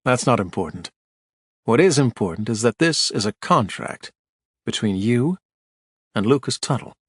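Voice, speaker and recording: A man's voice: mid-range voice, animated, moderate pace, breathy edge, no echo. A man voicing a blunt, plainspoken man who presses a witness with folksy, dogged questions.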